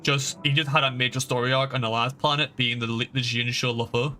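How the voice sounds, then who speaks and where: A man's voice: mid-range voice, steady tone, brisk, bright, forceful tone, a little hiss or hum. A man giving casual livestream game commentary in a rambling, informal, emphatic way. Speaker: game streamer. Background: game music.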